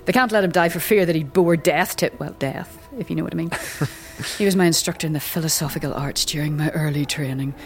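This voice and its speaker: Woman doing a wry older fantasy seer lady, deep voice, dry and gossipy, sardonic teacher type. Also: fast talker, faint music behind. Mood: mocking, sardonic. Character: sardonic older female undead-philosophy tavern seer and teacher. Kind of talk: audio drama acting.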